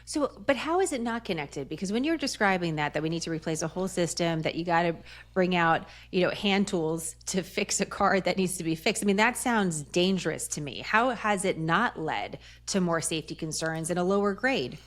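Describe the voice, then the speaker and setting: An American woman works as a news interviewer, with a voice pitched a little low for a woman. She talks conversationally and informally while pressing her guest with probing questions. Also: animated, moderate pace.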